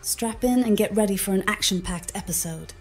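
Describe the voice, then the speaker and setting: AI companion, female synthetic voice, soft-edged and breathy, polite and articulate, music beneath. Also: mid-range voice, fairly quick. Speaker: AI mission companion. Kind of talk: scripted assistant-style presentation. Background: background music.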